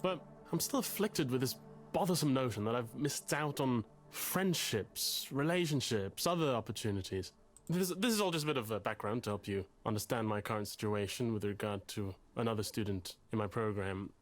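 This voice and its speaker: A man's voice: mid-range voice, normal speed, soft background music, lightly acted. An actor playing a pompous, anxious, lovesick male literature student in a video game, speaking in a verbose, formal and hesitant way. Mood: formal, uneasy. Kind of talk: visual novel game voice acting.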